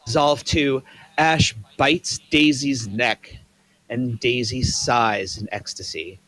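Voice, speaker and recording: A man reading the stage directions aloud at a table read in a plain, even way. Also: medium pitch, slow, no echo, low-quality recording.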